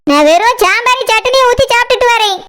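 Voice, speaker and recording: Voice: extremely high pitch, fast talker, sharp tone. A performer voicing a bossy, touchy village woman in a comedy cartoon, speaking Kanyakumari Tamil in a brusque, scolding way. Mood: indignant scolding. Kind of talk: exaggerated cartoon comedy dialogue.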